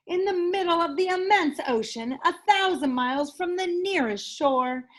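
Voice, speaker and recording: A woman reading a children's picture book aloud as a teacher, with enthusiastic, animated delivery and dramatic emphasis. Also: high voice. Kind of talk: expressive children's story reading.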